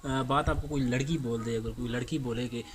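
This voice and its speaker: A man with a Pakistani accent tells a story informally as a host, halting and repeating himself, often asking rhetorical questions. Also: mid-range voice, steady tone. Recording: clearly noisy amateur recording.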